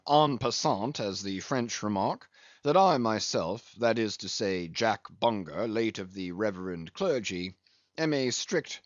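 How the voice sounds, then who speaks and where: A man voicing a solemn English ship's surgeon in an audiobook, deadpan, measured and drily pompous. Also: lowish voice, no room sound, highs cut off.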